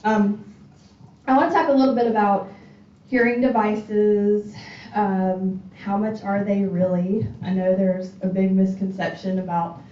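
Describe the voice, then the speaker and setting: A woman's voice: medium pitch, fairly even intonation, a little slow, dark tone. A woman, a hearing specialist giving an informal talk, chatty and full of fillers. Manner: chatty, many fillers and restarts. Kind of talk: informal community presentation. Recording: roomy, distant, dull sound.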